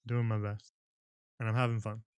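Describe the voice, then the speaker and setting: A man's voice: fairly low pitch, level tone, normal speed, darkish tone, clean tone. A man hosting a livestream book club, chatting with viewers and laughing often before reading a novel aloud.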